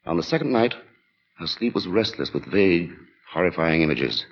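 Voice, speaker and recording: An actor narrating a 1940s radio thriller in a measured, grave and ominous manner. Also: male voice, low-pitched, big pitch swings, slight background noise. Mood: ominous, tense. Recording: dull vintage radio recording with music.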